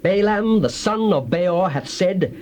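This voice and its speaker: An actor playing a solemn, defiant prophet in a dramatized audio Bible, declaiming grand oracles like incantations. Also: male voice, high voice, expressive, slow pace, a little mellow. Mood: solemn, prophetic. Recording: hissy old cassette tape.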